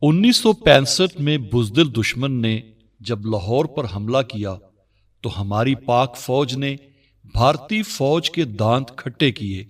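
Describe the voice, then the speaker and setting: Formal male radio-drama narrator, slightly distant. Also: medium pitch. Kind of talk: formal scripted narration in Urdu.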